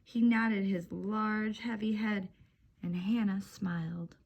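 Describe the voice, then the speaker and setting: A woman telling stories to young children as a library storyteller, with simple, encouraging address and an animated picture-book reading style. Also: mid-range voice, takes it slowly, gravelly.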